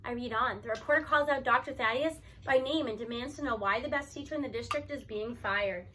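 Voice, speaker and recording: Female story narrator, high pitch, bright tone, animated, emphatic read-aloud delivery. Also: a little fast, slight background noise. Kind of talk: expressive first-person audiobook narration.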